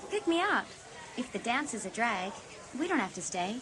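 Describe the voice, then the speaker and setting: A woman's voice: fairly high pitch, sing-song, normal speed. A woman playing a subdued, sulky teenage girl in a dramatised audiobook, with scene noise behind.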